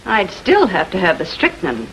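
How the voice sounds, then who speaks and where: An actress playing a defensive, haughty estranged wife in a 1940s filmed crime drama, fiercely protective and quick to take offence. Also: female voice, lowish voice, wildly swooping pitch. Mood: indignant. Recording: noisy old 1940s film soundtrack.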